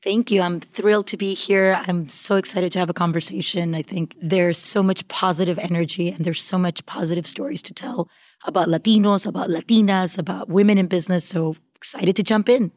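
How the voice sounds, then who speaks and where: A woman from Costa Rica in her forties, speaking as a guest in an interview, articulate and measured. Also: fairly low pitch, fairly even intonation, no echo, clean studio sound. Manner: articulate, measured business talk. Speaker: guest, venture capital founder. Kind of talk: conversational interview.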